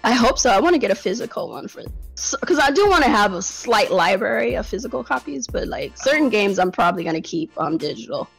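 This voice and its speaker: A woman's voice: mid-range voice, big pitch swings, fast, creaky, slightly rough, soft background music, low-quality recording. A woman hosting a casual game talk show, blunt and swearing freely. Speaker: podcast host. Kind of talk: casual chat about games.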